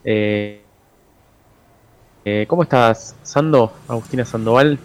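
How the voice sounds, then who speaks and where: A man's voice: mid-range voice, takes it slowly. A man with a Rioplatense Argentine accent hosting a radio show in a casual, conversational style. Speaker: radio host.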